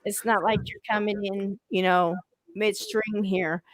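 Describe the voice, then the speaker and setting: Slow-talking woman podcast host. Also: mid-range voice, fairly even intonation, no echo, poor audio. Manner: chatty, gushing, laughs often, trails off mid-sentence. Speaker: podcast host interviewing a band. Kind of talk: casual live-stream interview chat.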